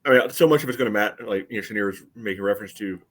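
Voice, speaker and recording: A man's voice: lowish voice, rapid-fire, a bit bright, husky. A man on a soccer podcast panel, giving casual, conversational analysis.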